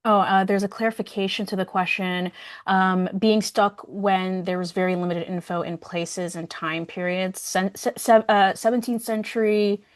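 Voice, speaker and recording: A woman moderating a workshop, relaying questions in a casual, halting way as she thinks aloud. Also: medium pitch, steady tone. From Somali community.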